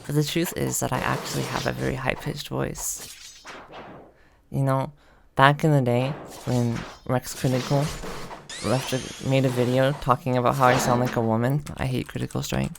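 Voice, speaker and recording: A man making a deadpan, mock-earnest comedy confession as a gaming video creator, in a halting delivery. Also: medium pitch, gravelly, background noise.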